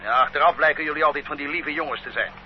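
An actor playing a bullying, greedy male policeman who scolds gruffly, in an older radio recording. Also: on the high side, racing, natural voice. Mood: stern. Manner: gruff, scolding, self-satisfied. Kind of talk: radio play acting. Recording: dull old radio recording, faint hum.

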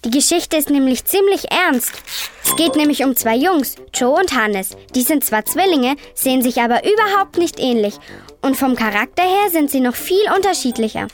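A woman's voice: high voice, lively intonation, moderate pace, faint music behind. A woman playing a sassy, eager young girl on a scripted children's radio show. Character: bossy, chatty young girl recommending books.

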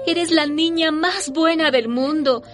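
A woman's voice: sits high, slow. A woman playing a nurturing, protective mother in a radio drama, with an earnest manner. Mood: tender. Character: tender, protective young mother.

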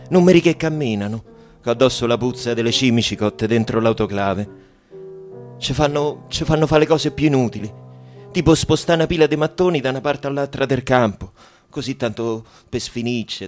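An actor playing a frightened, worn man deported to a wartime camp, with a Roman accent, in halting, bitter radio drama storytelling. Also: medium pitch, natural voice. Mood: weary, humiliated. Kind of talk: radio drama monologue and dialogue. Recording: music under the voice.

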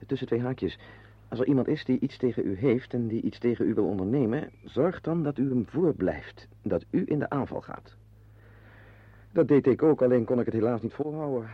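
A man's voice: mid-range voice, moderate pace, slightly rough, dry recording, close to an everyday voice. An actor playing a wry, brooding henpecked husband in a Dutch radio play, confiding his musings to the listener. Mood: musing, rueful. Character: wry, brooding henpecked husband, animal-loving former artist. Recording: muffled, band-limited old radio play recording.

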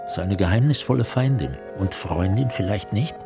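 A man narrates a literary radio drama in a lowish voice. His delivery is scripted, measured and serious, with music behind him. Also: animated, gravelly.

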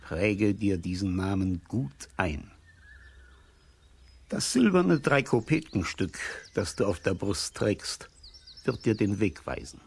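A solemn, mysterious old man of wisdom in a children's radio play. His husky voice moves very slowly and swings expressively up and down, as if handing out destiny. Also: on the low side, full character voice. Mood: solemn, prophetic. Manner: slow, deliberate, expressive, solemn.

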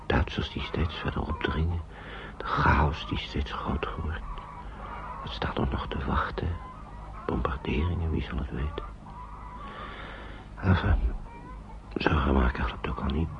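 Deep-voiced kindly uncle. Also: male voice, measured pace, long pauses, in a whisper. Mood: worried, musing. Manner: gentle, reassuring, patient with children. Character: kindly worried uncle shepherding refugee children through wartime. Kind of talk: radio drama acting. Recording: dull, noisy old radio play recording.

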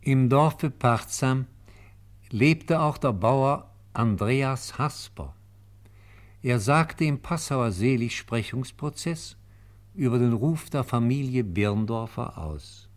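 A man narrating a radio play in a solemn, measured, reverent way. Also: mid-range voice, expressive.

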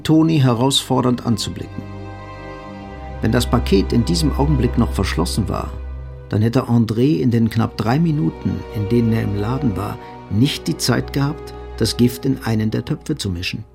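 A male narrator with a creaky, gravelly edge reads over music. His mid-pitched delivery is measured and sober, in scripted radio drama style. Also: a little mellow.

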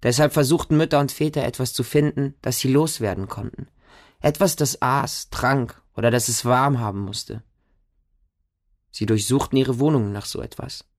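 An actor playing a wounded, innocent boy who tells of his childhood in a radio drama, in simple, deliberate, repeated phrases. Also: medium pitch, dry recording, studio quality, lightly acted. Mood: haunted remembering. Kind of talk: radio drama monologue, storytelling.

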